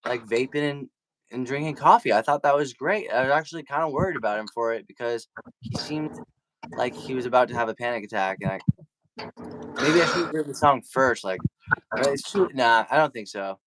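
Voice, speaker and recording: A man's voice: medium pitch, fairly quick, fairly bright tone, a little hiss or hum, rough recording. A man hosting a casual livestream, rambling informally and correcting himself as he talks. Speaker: livestream host and singer-guitarist.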